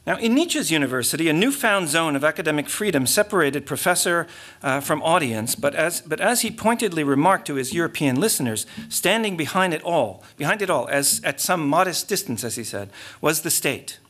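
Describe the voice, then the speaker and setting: A man's voice: fairly high pitch, expressive, a bit bright. A middle-aged man delivering a keynote lecture from a lectern, reading from notes with restarts and muttered asides. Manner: measured, reads aloud with frequent restarts and asides. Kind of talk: academic lecture read from notes. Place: large lecture hall stage with curtains. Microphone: lectern microphone.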